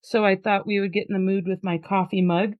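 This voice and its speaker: A woman's voice: mid-range voice, little pitch movement, brisk, no echo, lo-fi sound. A woman hosting a podcast in an upbeat, chatty way, laughing easily and also reading a poem aloud with drama. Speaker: podcast host.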